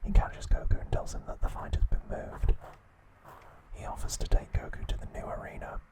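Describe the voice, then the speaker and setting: A male ASMR host speaks entirely in a soft whisper. He narrates from a script with a measured, soothing bedtime delivery, keeping his voice unvoiced throughout.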